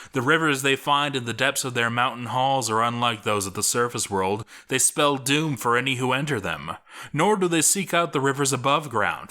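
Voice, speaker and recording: A man reading a scripted story as a dramatic narrator, theatrical and deliberate, with pauses for effect. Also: mid-range voice, bright, forceful tone.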